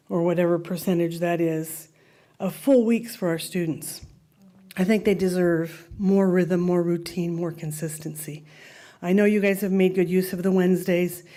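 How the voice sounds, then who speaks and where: A mother addresses the school board in a friendly, conversational way, pressing her plea. Her voice is warm and mellow at a middle pitch. She speaks into a podium microphone in a meeting room. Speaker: parent speaking at public comment. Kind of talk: unscripted public comment.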